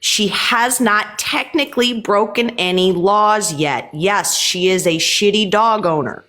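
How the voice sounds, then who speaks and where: A woman's voice: medium pitch, unhurried, bright, forceful tone. A woman in a heated livestream chat, speaking bluntly and lecturing listeners, with frequent swearing. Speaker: livestream guest.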